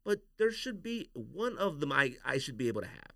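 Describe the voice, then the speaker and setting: A man's voice: a little high, big pitch swings, a little fast, creaky, fairly bright tone, dry recording, clean studio sound. A man hosting a casual podcast chat, speaking in a slangy, opinionated way. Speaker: podcast host.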